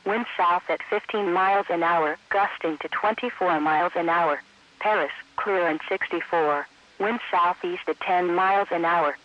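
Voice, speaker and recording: An automated male announcer reading scripted weather bulletins in a measured, impersonal synthetic voice. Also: high voice, dry recording. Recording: dull lo-fi weather radio stream.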